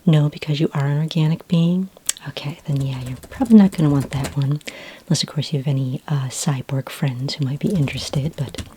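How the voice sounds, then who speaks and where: Soft breathy, low-pitched librarian played by a woman. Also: steady tone. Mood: soft, patient. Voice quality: soft, breathy, half-whispered. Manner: gentle, hesitant, unhurried, helpful. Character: friendly, helpful female futuristic library clerk for aliens. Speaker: ASMR roleplay performer. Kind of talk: soft-spoken ASMR roleplay.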